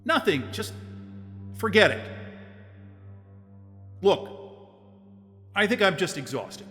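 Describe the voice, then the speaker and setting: A man's voice: high-pitched, sing-song, slow pace, bright, forceful tone, long reverb tail, faint music behind. A man playing a weary, sarcastic and short-tempered lead, talking in an audio drama. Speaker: lead character.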